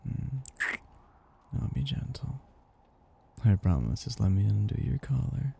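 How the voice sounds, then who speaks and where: A man voicing a seductive, possessive vampire master in an ASMR roleplay, breathy and gravelly, speaking in a slow, gently domineering, teasing way. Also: on the low side, full character voice. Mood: coaxing, possessive. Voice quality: breathy, gravelly, often dropping into whispers. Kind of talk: ASMR roleplay, intimate scripted monologue.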